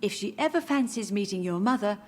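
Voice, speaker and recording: An older woman playing a grieving, confused elderly mother in a video game, wistful, drifting and gently reproachful. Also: mid-range voice, expressive, brisk, natural voice. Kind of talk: video game dialogue acting.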